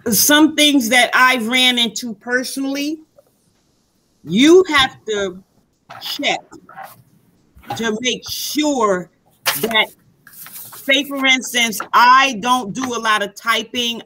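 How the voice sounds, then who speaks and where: A woman's voice: a little high, background noise. A woman taking part in a webinar, speaking casually about personal experience. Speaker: webinar participant sharing experience. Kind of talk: casual discussion.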